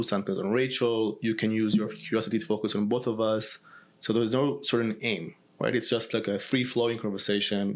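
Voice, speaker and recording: A man hosting a podcast, speaking in a slow, gentle way as he guides the conversation. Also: lowish voice. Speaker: podcast host.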